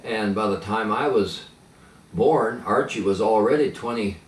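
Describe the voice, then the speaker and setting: An older man who grew up speaking Pennsylvania Dutch, reminiscing slowly with many pauses and fillers. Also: lowish voice. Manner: halting, many fillers and restarts. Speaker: interviewee. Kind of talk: reminiscing in conversation. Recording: echoey room, mic at a distance, faint noise.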